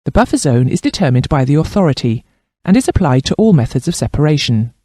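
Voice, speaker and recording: A man narrates a training course in a fairly high voice with a soft, mellow tone. His delivery is formal and precise, with plenty of melodic movement as he reads the scripted instruction. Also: big pitch swings, quick pace, dry recording, clean studio sound.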